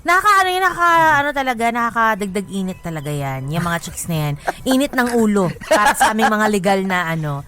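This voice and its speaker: A woman's voice: mid-range voice, big pitch swings, quick pace, hardly any pauses, pushed and bright, smooth. A woman with a Filipino accent co-hosting radio in casual, teasing, sarcastic banter. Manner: sarcastic, playful. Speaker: radio co-host.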